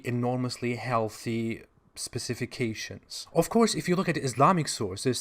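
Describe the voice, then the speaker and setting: A man with a German accent giving critical commentary, full of sarcastic, mocking asides. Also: medium pitch. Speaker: online commentator.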